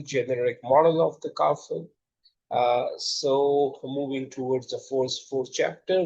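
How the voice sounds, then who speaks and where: A man's voice: mid-range voice, darkish tone, lo-fi sound. Hesitant Pakistani man lecturing. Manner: hesitant, frequent uh fillers. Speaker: lecturer introducing his textbook. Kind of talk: slide-based lecture.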